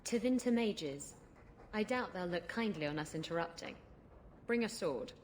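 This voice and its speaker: A woman's voice: fairly low pitch, animated, moderate pace, a little mellow, a little hiss or hum, close to an everyday voice. An actress playing a sarcastic, evasive female pirate rogue. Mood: sly. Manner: teasing, evasive.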